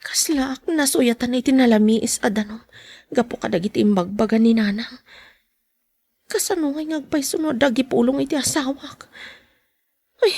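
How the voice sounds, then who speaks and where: A woman's voice: high voice, gravelly, darkish tone, dry recording. A woman acting a meek, guilt-ridden young wife and mother in a podcast drama, hesitant and pleading.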